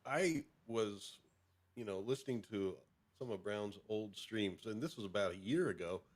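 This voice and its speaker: A man co-hosting a casual live stream, informal and a little halting as he talks. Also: lowish voice, expressive.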